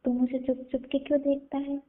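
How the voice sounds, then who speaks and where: A woman's voice: high-pitched, monotone, a little fast, close to an everyday voice. A woman playing a bold, teasing, self-assured elder sister in an improvised phone-call roleplay, speaking in a coy manner. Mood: teasing, probing. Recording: muffled, narrow-band phone call audio.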